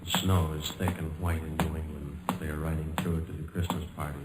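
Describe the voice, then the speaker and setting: Haunting phantom, extremely low monotone, hushed, insistent, old radio drama. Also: male voice, a little fast. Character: haunting male voice taunting with winter memories. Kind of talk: 1950s radio drama acting. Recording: echoing old radio, loud music behind.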